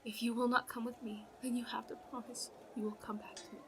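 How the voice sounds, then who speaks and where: A woman's voice: fairly high pitch, little pitch movement, quick pace, very noisy, close to an everyday voice. A woman playing an anxious, lovelorn young princess bride in a romantic audio roleplay, speaking formally and hesitantly. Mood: panicked, desperate pleading. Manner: formal regal phrasing, hesitant, breathy pleading.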